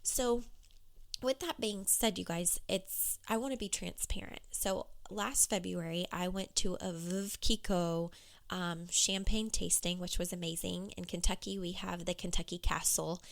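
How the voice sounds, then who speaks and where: A woman business coach talking candidly on her solo podcast, with a hoarse, froggy voice. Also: on the low side, steady tone, unhurried. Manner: candid, conversational, with fillers.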